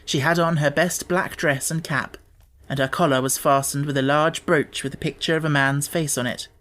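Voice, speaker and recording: A man narrating a children's novel as an audiobook in a measured, clearly phrased way. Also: on the high side, steady tone, fairly bright tone. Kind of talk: scripted storybook narration.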